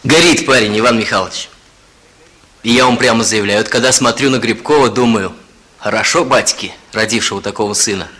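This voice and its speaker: A man's voice: lowish voice, brisk, sharp tone. An actor in a Soviet radio drama playing a frank, respectful police officer reporting plainly and emphatically to his chief. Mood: concerned, emphatic.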